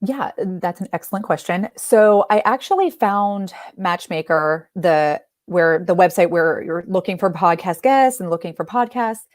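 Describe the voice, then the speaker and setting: A woman from Ohio chats as a guest in a friendly, easygoing way, laughing often. Her voice sits at a middle pitch and sounds close and dry, with no room echo. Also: animated, a little slow, few pauses. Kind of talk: casual chat.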